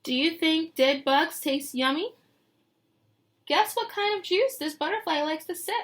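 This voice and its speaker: A woman teacher reading a picture book aloud to young children, expressive and sing-song, pausing to put questions to them. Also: extremely high pitch. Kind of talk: read-aloud lesson with questions to young listeners.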